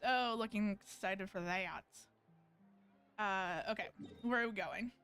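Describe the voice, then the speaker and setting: A woman's voice: mid-range voice, slow pace, a bit bright, clear, resonant tone, faint music behind. A woman giving casual live-stream commentary on a game, rambling and thinking aloud as she plays.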